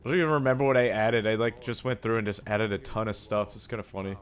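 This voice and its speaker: Raspy-voiced excitable young gaming YouTuber. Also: male voice, quick pace. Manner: excitable, exclaims often, jumps from low mumbles to loud yelps. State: raspy, sore throat. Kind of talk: casual gameplay commentary.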